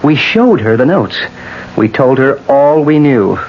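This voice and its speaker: A man's voice: medium pitch, animated, a little slow. An actor playing an insistent, confrontational man in a 1970s radio drama, pressing and accusatory. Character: insistent male rival demanding answers, confrontational. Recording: dull, noisy old radio broadcast recording.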